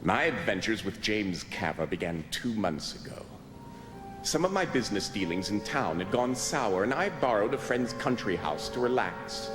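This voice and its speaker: An actor playing an excitable, indignant gentleman adventurer with an emphatic, formal, theatrical manner in old-time radio drama style. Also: lowish voice, moderate pace, lightly acted. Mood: reflective storytelling. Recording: echoey, long reverb, music behind.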